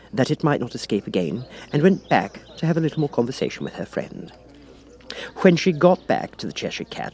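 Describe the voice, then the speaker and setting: A man's voice: mid-range voice, a little mellow. A man reading a children's story as a scripted audiobook narration.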